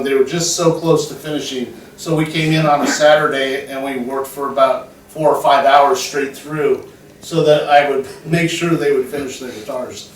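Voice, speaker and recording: A man's voice: medium pitch, a little hiss or hum. A man, a shop teacher, talking plainly to parents, his phrases trailing off. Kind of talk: addressing parents at a school open evening. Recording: very echoey classroom from far off.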